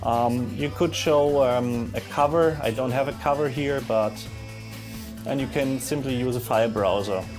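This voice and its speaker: A man narrating a casual software screen demo in a halting way, thinking aloud as he goes. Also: medium pitch. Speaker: software demonstrator. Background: music from audio players being demonstrated.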